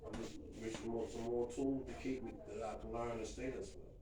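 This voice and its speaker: A man's voice: mid-range voice, little pitch movement, brisk, a crowd in the background. A man in his fifties sharing earnestly and plainly in a recovery group, repeating himself for emphasis. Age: 50s. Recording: echoey room, far from the mic, noisy.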